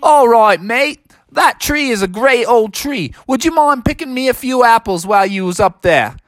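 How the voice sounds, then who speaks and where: Man putting on a male kangaroo, mock Australian accent, easygoing, folksy, chummy, raised lively pitch, storybook character. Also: moderate pace, dry recording. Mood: friendly. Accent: put-on Australian. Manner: folksy, laid-back, chummy.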